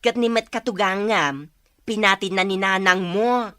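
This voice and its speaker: A woman's voice: medium pitch, moderate pace, bright, forceful tone, clean tone, no room sound, close to an everyday voice. A woman in an Ilocano radio drama playing an indignant, scolding village neighbor. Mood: aggrieved.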